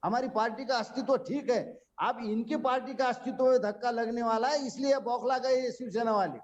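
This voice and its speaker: A man's voice: extremely high pitch, steady tone, a little fast, highs cut off. A man heckling combatively as a political panelist in a heated TV debate.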